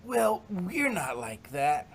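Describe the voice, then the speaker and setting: A reader doing the voice of a blunt young pegasus filly, in quiet, drawn-out lines during an amateur fan story reading. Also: mid-range voice, big pitch swings, a little hiss or hum, pitched above the performer's own voice. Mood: reassuring.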